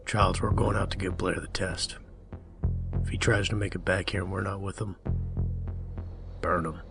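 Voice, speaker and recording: A man acts out a tough, grim survivor in an audio drama read-along. His voice sits low and his delivery is terse and hard-bitten. Music runs behind him. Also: steady tone, moderate pace, vocal fry. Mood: grim order.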